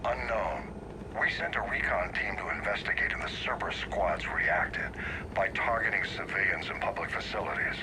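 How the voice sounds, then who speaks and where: Stern military commander , male actor, low and authoritative, sci-fi game briefing over heavy aircraft noise. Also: lightly acted. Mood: grave, businesslike. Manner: measured, formal, authoritative. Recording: loud engine and radio noise behind.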